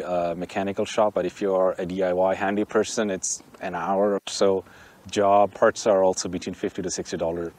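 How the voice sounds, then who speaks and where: A man's voice: lowish voice, darkish tone. Chatty man from Bangladesh reviewing cars outdoors. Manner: chatty, joking, opinionated. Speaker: car channel host. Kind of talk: casual car review vlog. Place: outdoors by a vehicle, windy. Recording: faint wind and outdoor noise. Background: wind and outdoor noise.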